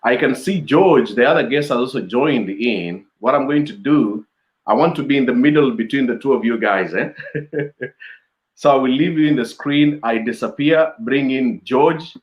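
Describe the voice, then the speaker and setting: A man's voice: mid-range voice, normal speed, muffled recording. Chatty man, echoey room. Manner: chatty, laughs often, many fillers. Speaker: host. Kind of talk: casual video-call interview. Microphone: video call.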